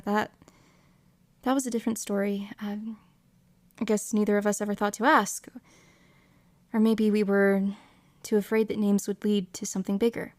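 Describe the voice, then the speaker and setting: A woman's voice: medium pitch, a little mellow, close to an everyday voice. A young woman acting as a wistful, regretful, hesitant young woman in an audio drama narrated in the first person. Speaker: lead actress and narrator.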